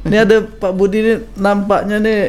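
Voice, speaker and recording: A man's voice: sits high, flat delivery, moderate pace, a little mellow. A man with a cold hosting a casual radio chat, teasing his co-host and reciting rhymed verses between chuckles. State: has a cold.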